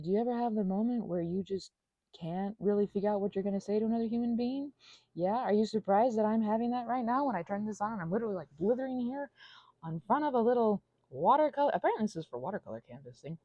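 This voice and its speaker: Woman doing a scattered improvised ramble, soft mellow voice that swings up and down, slightly muffled audio. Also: medium pitch, lively intonation, fast, dry recording. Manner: scattered, self-deprecating, playful, trailing off into tangents and asides. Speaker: audio channel creator. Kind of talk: improvised stream-of-consciousness ramble while finger painting.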